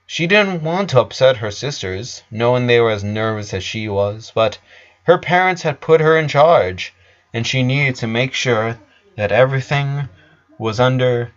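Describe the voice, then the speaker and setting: A man's voice: medium pitch, animated, a little slow. A man narrating a story in a dramatic reading style. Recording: dull lo-fi sound.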